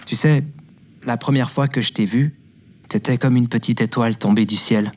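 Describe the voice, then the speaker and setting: A man's voice: medium pitch, fairly even intonation, normal speed, darkish tone, slight background noise, natural voice. A man playing a devoted, self-deprecating young man who tells his story in a fiction podcast. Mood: tender, encouraging. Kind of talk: fiction podcast acting with narration.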